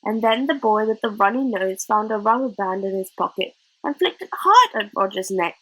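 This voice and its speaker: A woman reading a children's novel aloud as narrator, in an expressive storybook style. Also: mid-range voice, moderate pace, low-quality recording.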